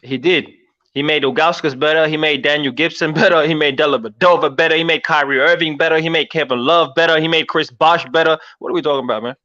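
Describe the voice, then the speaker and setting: A man from Ethiopia, whose first language is Oromo, talking basketball as a podcast guest in an animated, emphatic way. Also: a little high, quick pace. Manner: animated, emphatic, calls everyone "man" and "bro". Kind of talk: casual livestream basketball chat. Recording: muffled lo-fi livestream audio.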